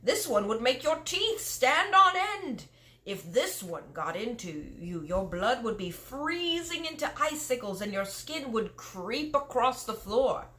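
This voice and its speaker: A woman's voice: fairly high pitch, sing-song, measured pace, pushed and bright, pitched above the performer's own voice, exaggerated voice. A woman voicing a big friendly male giant who talks in muddled grammar and invented words. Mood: earnest, explaining.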